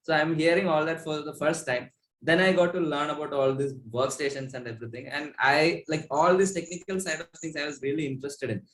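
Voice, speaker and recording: A man's voice: on the high side, steady tone, fairly quick, a bit bright. Young Indian-accented man in an echoey room. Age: young adult. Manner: rambling, frequent "like". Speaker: musician being interviewed. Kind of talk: casual interview chat.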